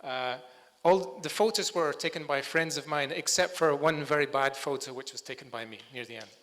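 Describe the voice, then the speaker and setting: A man from Scotland presents at a conference, pausing often to search for words. His voice sits a little high and sounds bright and forward. The lecture hall adds a long, lingering reverb. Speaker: bird sound recordist giving a talk.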